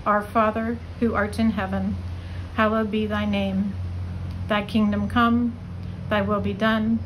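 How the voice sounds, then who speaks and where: A woman of middle pitch leads a congregational prayer in a steady, recited rhythm. A faint background hiss runs beneath her. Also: poor audio. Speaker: prayer leader. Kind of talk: liturgical prayer.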